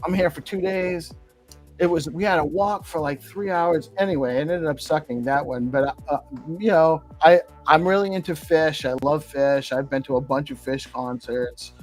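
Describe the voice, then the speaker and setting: A man talks in loose, meandering sentences, full of ums and restarts. His voice sits a little above the usual man's pitch. Soft music plays faintly behind him. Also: normal speed. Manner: rambling, hesitant, many fillers. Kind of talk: casual chat.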